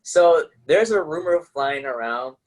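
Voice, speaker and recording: A man's voice: a little high, expressive, a little slow. A man hosting an interview, asking questions in a friendly way and answering with brief affirmations. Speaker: interviewer, host.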